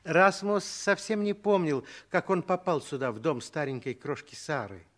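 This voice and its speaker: A man narrates a 1960s children's radio play. His voice sits rather high for a man. He reads the scripted story expressively, as a storyteller does. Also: expressive, moderate pace.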